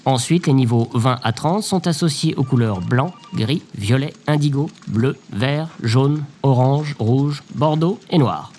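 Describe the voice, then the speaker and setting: A man playing a glum, officious government bureaucrat in a comic audio drama, deadpan and pedantic. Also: medium pitch, unhurried, hardly any pauses, faint music behind, lightly acted. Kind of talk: audio drama comedy acting. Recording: faint background noise.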